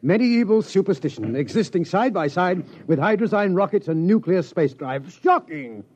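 Prim, easily shocked scientist, animated. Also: male voice, sits high, moderate pace. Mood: indignant. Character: indignant, proper male observatory scientist, easily shocked. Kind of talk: old-time radio comedy acting. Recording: muffled, faintly noisy vintage radio recording.

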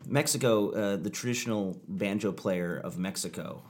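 A man's voice: fairly low pitch, moderate pace, a little hiss or hum. A man hosting a music show from a living room studio, introducing a band and chatting with them easily. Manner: easygoing, chatty, warm greetings and laughs. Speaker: radio show host. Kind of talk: show intro and casual interview.

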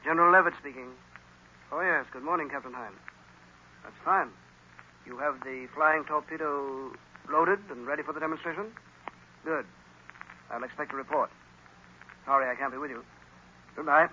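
An actor playing a brisk, impatient, dismissive army general with a businesslike manner, in 1930s radio style. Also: male voice, fairly high pitch, fairly even intonation, sharp tone. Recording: noisy, dull 1930s radio recording.

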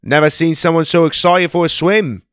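A man voicing a rugged outback bushman with a put-on Australian accent, hearty and loud. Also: on the high side, fairly even intonation, normal speed, smooth, no room sound, clean studio sound, voice pushed up, lightly acted.

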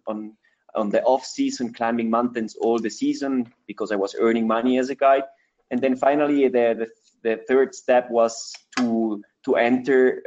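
A man's voice: mid-range voice, darkish tone, dull audio. A man in his thirties from Ecuador, answering interview questions in English as a with many fillers and restarts. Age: 30s. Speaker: mountaineer guest.

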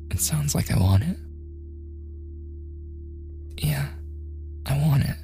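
A man playing a shy, awkward young man in a boyfriend ASMR roleplay, softly stammering and often slipping into whispers. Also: fairly low pitch. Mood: flustered, smitten. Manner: hesitant, stammering, self-deprecating joking.

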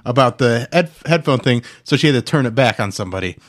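A man's voice: mid-range voice, expressive, fast talker. A man co-hosting a podcast in casual, conversational chat. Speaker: podcast co-host.

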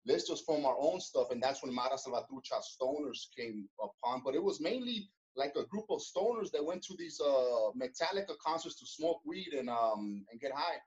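A man telling his story as an interview guest, in a relaxed way full of street slang, fillers and restarts. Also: medium pitch, moderate pace, few pauses, highs cut off. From Los Angeles. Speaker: interview guest, former gang member.

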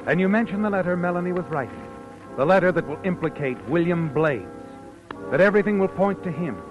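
Insinuating vintage radio suspense narrator. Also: male voice, sits high, animated, fairly quick. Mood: knowing, ominous. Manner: knowing, insinuating, dramatic. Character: male narrator, taunting inner voice of conscience. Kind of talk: 1940s radio suspense narration in second person. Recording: dull old radio broadcast with music.

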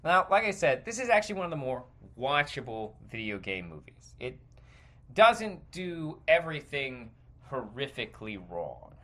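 A man's voice: mid-range voice, sing-song, normal speed, sharp tone. A man hosting a podcast, giving casual, rambling, opinionated solo commentary in a conversational way. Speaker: podcast host.

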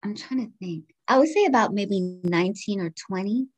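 A woman's voice: medium pitch, expressive, normal speed, a little mellow, rough recording. A woman in her forties from Guyana, raised in the United States, answering interview questions in a thoughtful, candid way. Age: 40s. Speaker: podcast guest.

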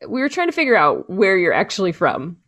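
A woman hosting a casual interview, asking questions and affirming her guest warmly. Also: medium pitch, wildly swooping pitch, brisk. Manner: encouraging, affirming. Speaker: host.

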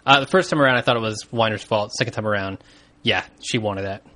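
Male co-host, relaxed and joking, bright tone, casual episode recap. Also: fairly low pitch, fast. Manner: laid-back, jokey, laughs easily. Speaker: podcast co-host.

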